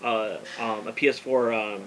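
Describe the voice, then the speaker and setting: A man's voice: lowish voice, steady tone, moderate pace, crowd noise, poor audio. A man speaking casually as an interview guest on a podcast. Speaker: podcast guest, game hardware marketer.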